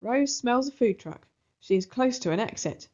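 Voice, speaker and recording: A woman narrating a children's storybook aloud in an expressive style. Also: a little high, moderate pace, highs cut off.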